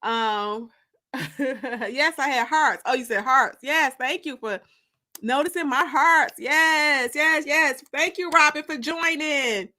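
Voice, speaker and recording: An expressive, theatrical woman hosting story time, moving between dramatic narration and casual chat. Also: sits high, takes it slowly. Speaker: author and host of live story time.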